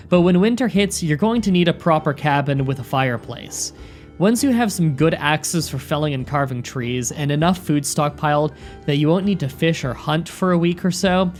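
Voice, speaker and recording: A video host giving a scripted game tutorial in a clear, step-by-step instructional manner. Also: medium pitch. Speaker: host of gaming tips videos. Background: background music.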